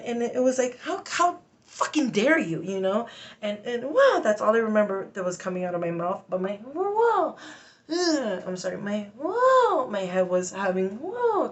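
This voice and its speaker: A woman venting in a casual personal story, blunt and sweary, with halting, emphatic, agitated speech broken by sudden vocal tics. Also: a little high. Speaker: vlogger telling a personal story.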